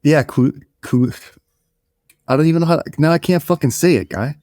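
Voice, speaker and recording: A man's voice: a little high, moderate pace, a little mellow, no echo, clean studio sound. A Canadian-raised man whose first language is Polish, co-hosting a podcast in rambling, casual chat with frequent restarts and casual swearing. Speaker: podcast co-host.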